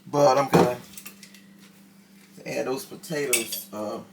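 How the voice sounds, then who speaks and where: A woman with a raspy voice, chatting casually to her viewers in a home kitchen cooking vlog. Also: rumbling low, fairly even intonation, takes it slowly, heavy background noise. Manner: chatty, talks straight to viewers. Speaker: cooking vlogger.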